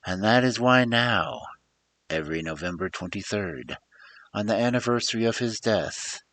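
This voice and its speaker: A man's voice: on the low side, moderate pace, fairly bright tone, dry recording. A man reading a story aloud as a storyteller, in a theatrical, emphatic storybook manner. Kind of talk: dramatic scripted story narration.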